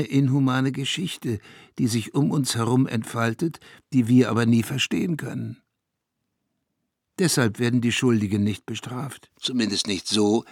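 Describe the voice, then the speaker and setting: An actor playing a brooding, reflective man who narrates a literary radio play in a deliberate, measured, intimate manner. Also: medium pitch, gravelly, breathy edge, no echo, clean studio sound. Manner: deliberate, measured, intimate, at times dropping near a whisper. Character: brooding, reflective man recounting a small-town tragedy. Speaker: actor narrating a character's story. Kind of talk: German radio play monologue, literary first-person narration.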